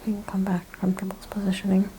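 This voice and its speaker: A woman speaking softly, often in a breathy whisper, gently and carefully explaining each step as a chiropractor in an ASMR exam roleplay. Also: medium pitch, completely flat delivery, rapid-fire, noisy background. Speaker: ASMR host playing a chiropractor examining a patient.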